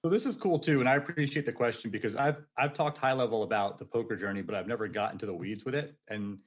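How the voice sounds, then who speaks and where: A forty-year-old man speaking as a podcast guest. He talks in an energetic, casual storytelling way and laughs often as he tells his story. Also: medium pitch, quick pace, husky. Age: 40s.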